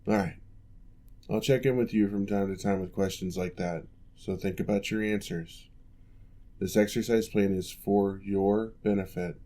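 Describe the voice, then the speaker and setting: Man playing an orc ex-general, patient physical therapist, low pitch, gravelly, calm measured ASMR delivery. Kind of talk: ASMR roleplay.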